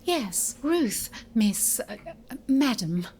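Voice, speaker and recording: A woman playing a polite, nervous English governess, hesitant and breathy, in an audio drama. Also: fairly high pitch, very animated, slow, darkish tone, slight background noise, full character voice. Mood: flustered.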